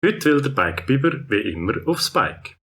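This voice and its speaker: Husky, animated Swiss German male storyteller. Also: mid-range voice, normal speed. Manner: expressive storytelling. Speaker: narrator. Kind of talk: children's story narration.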